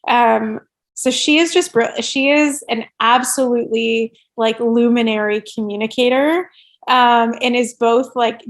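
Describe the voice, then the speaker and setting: A woman with a fairly high voice speaks as an expert guest in a conversational interview. Her phrasing is informal and spontaneous, with "like" sprinkled through her explanations and frequent tags of "right". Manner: informal, peppers speech with "like" and "right". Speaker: podcast guest, workplace inclusion expert.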